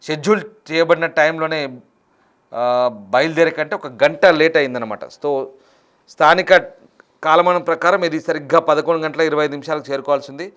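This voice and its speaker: A man's voice: fairly high pitch, steady tone, a little fast, a bit bright, clean tone. A man presenting a news explainer, speaking off the cuff and repeating phrases for emphasis. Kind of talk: semi-improvised news explainer commentary.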